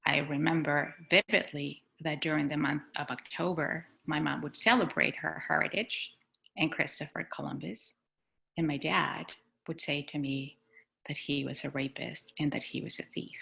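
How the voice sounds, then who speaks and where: A woman from Chile, a school superintendent, giving an earnest, measured personal talk on a video call. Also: deep voice, fairly even intonation, gravelly, pushed and bright, poor audio. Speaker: executive superintendent. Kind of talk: personal speech at a community board meeting.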